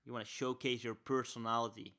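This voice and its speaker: Bright-toned young male podcast host. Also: mid-range voice, fast. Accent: Spanish-speaker's accent. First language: Spanish. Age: young adult. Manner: energetic, repeats key phrases, says "right?" often. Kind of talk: podcast interview and solo wrap-up.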